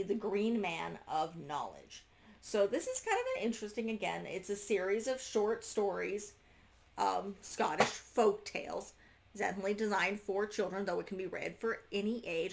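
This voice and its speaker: A woman's voice: mid-range voice, vocal fry. A woman reviewing a book casually to camera, unscripted, often breaking off and restarting her sentences as she goes. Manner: halting, self-interrupting, trailing off mid-sentence.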